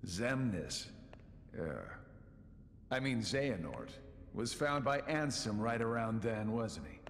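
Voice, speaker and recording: A man's voice: lowish voice, lively intonation, slow, big-room sound, slight background noise. A man voicing a sly, mocking middle-aged schemer in a video game, with a teasing, theatrical drawl. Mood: sly, casual. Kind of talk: video game cutscene acting.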